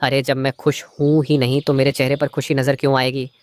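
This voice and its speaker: A man acts out a miserly male crow from a moral-story cartoon, with a mid-pitched voice. He is a two-faced husband who mutters selfish schemes and puts on sweetness for his wife. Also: flat delivery, a little fast, close to an everyday voice. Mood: falsely pleased.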